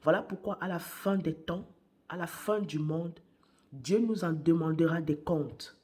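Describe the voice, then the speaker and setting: A woman preaching a morning sermon while she has a cold. Her voice is worn, and she speaks deliberately, repeating key phrases and sometimes dropping to a hush. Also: on the low side. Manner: slow and deliberate, repeats phrases, hushed at times.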